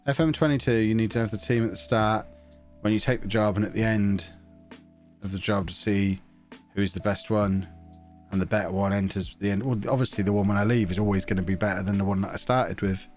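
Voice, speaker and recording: A man's voice: fairly low pitch, fairly even intonation, fairly quick, darkish tone, faint music behind. A man chatting casually on a live stream, joking and reading out viewer messages while he plays a football management game, with a slightly blocked nose. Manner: chatty, joking, reads chat messages aloud, hums to himself.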